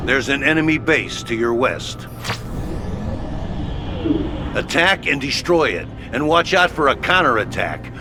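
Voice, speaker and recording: Brash military officer with animated delivery. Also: male voice, mid-range voice, measured pace, pauses often, noisy background. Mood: gruff, commanding. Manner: brusque, colloquial military orders. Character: gruff, brash male military headquarters officer. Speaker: headquarters military operator. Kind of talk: video game voice acting.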